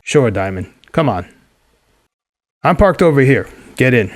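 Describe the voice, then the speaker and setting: An actor playing a skeptical police lieutenant in 1940s radio drama style, official and matter-of-fact. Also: male voice, medium pitch, big pitch swings, measured pace, no room sound, studio quality.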